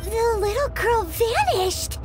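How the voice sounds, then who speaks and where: Tiny fairy sidekick, extremely high, squeaky, childlike, swooping animated delivery, game character. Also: female voice, takes it slowly, full character voice. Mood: alarmed. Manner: exclaiming, animated. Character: small, excitable childlike fairy companion. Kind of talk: scripted video game acting. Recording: loud music behind the voice.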